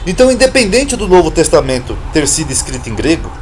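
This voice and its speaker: A man with a Brazilian accent teaches scripture in a casual, insistent way, repeating his points and throwing out rhetorical questions. His voice sits on the higher side for a man. A light background hiss stays under the recording throughout. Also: sing-song, fast, a little mellow. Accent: Brazilian Portuguese. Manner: emphatic, repetitive, explaining with rhetorical questions. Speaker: Bible teacher, channel host. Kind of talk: informal Bible lesson to camera.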